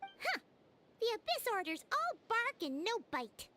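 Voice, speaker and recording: An actress voicing a tiny, perky, cheeky childlike sidekick creature in an anime-style video game, in a squeaky voice. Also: female voice, huge pitch swings, measured pace, sharp tone, a little hiss or hum, full character voice. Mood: excited. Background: video game music.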